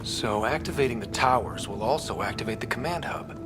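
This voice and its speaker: Young male space explorer, acted: mid-pitched, plainspoken, resolute squad leader for a sci-fi game, music behind. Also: brisk, close to an everyday voice. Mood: earnest. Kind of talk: scripted video game cutscene acting.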